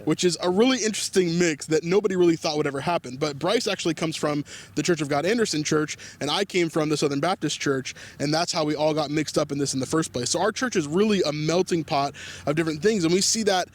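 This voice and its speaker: A man hosting a faith vlog, talking casually and explaining things, often filling pauses with "like". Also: on the high side. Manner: chatty, with frequent "like" fillers. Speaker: vlog host.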